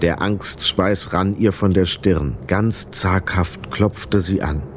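Low-pitched man narrating a children's story. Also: slow, noisy background. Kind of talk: scripted narration of a children's radio play.

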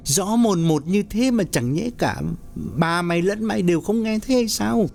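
A man's voice: sits high, lively intonation, normal speed, vocal fry, darkish tone, faint music behind, voice pushed up. A man voicing a frightened, weary older husband who speaks in a tense, troubled way. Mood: fearful.

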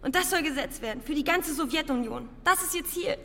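A woman's voice: high voice, brisk, a bit bright. A young woman playing a frightened, bitter student in a Soviet-era thriller radio drama, speaking in an anguished, defensive way. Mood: reluctant, confessing. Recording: long reverberant hall, music behind.

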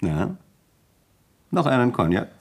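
A man's voice: mid-range voice, sing-song, slow, darkish tone, exaggerated voice. A man playing a suave, self-assured provincial notary, urbane and condescending, in a German radio play.

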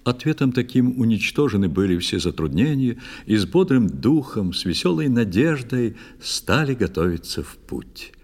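A man narrating a poetic tale theatrically, in a measured, expressive storytelling manner. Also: mid-range voice. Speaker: narrator of a children's musical audio play. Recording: long studio reverb, music underneath. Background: music.

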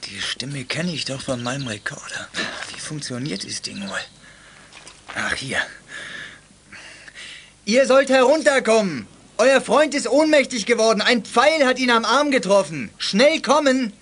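An actor playing a gruff, scheming young gang leader in a 1980s youth radio play, curt and commanding. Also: male voice, high voice, expressive, measured pace, a bit bright, full character voice. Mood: sly muttering, then urgent shouting. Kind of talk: 1980s German youth audio drama acting. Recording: noisy old audio drama recording.

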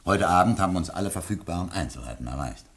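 An actor playing a courteous, businesslike embassy official briefing investigators in a German radio drama. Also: male voice, sits low, lively intonation, fairly bright tone. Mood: courteous, obliging. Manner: courteous, measured, reads out files methodically.

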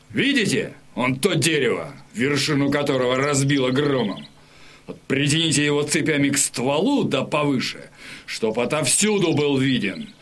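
An actor playing a cruel, gloating Polish commander who gives orders with contempt in an audio drama. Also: male voice, medium pitch, a bit bright, husky, heavily acted.

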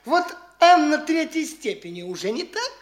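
A woman's voice: sits high, moderate pace, reverberant. A woman playing a boastful, flighty young man posing as an official, condescending to the locals. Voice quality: swaggering, lively, condescending. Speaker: actor in a radio play. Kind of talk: stage radio play acting.

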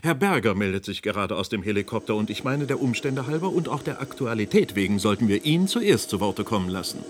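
A man's voice: lowish voice, lively intonation, brisk, few pauses, close to an everyday voice. A man playing an agitated, defiant news anchor with a sardonic, argumentative manner in a German radio play. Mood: dry sarcasm.

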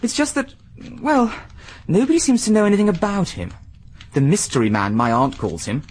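An actor playing a breezy, flippant young gentleman in a BBC radio drama, with an easy upper-class drawl. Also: high-pitched, lively intonation, normal speed.

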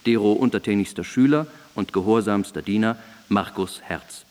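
An actor playing a devoted, deferential student, reading in formal, clearly phrased old-fashioned language for a radio drama. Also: male voice, on the low side, normal speed, darkish tone, lightly acted. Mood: concerned, reverent. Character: devoted, deferential male student of a revered professor. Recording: reverberant studio, digitized cassette radio play.

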